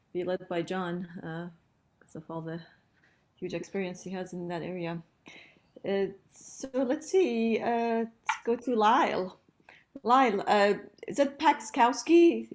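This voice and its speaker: Brisk woman hosting a video meeting. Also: mid-range voice, a little mellow. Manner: brisk, courteous. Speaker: meeting host. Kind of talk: moderating introductions. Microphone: video call.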